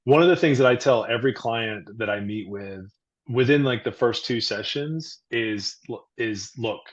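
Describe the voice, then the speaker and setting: A man with a big, booming voice talks earnestly as a therapist on a podcast, explaining things through everyday comparisons. His pitch sits in a middle range, and the recording sounds a little dull and lo-fi. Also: normal speed. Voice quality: loud, booming voice. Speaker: therapist, guest. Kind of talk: casual podcast chat.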